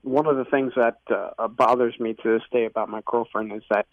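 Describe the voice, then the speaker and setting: A man's voice: mid-range voice, steady tone, brisk, no room sound. A man calling in to a talk show for relationship advice, speaking casually over a narrow telephone line. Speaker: caller seeking relationship advice. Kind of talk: casual phone-in conversation. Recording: tinny, narrow phone line, dry.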